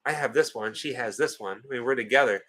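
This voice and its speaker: A man's voice: medium pitch, quick pace, fairly bright tone. A man in his forties with an American accent, co-hosting a casual livestream and joking while he reads the chat. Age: 40s. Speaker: co-host of a livestream watch party.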